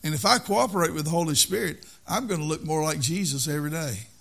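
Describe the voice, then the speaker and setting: Older man, preacher, sermon, earnest, repeats phrases, slightly high voice. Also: fast talker, gravelly, a bit bright.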